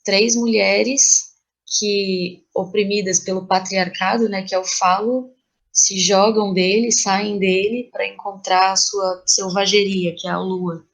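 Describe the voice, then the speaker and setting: A woman's voice: mid-range voice, steady tone, takes it slowly. A woman with a Brazilian accent speaking reflectively as an interview guest about creative work, thoughtful and searching for words as she goes.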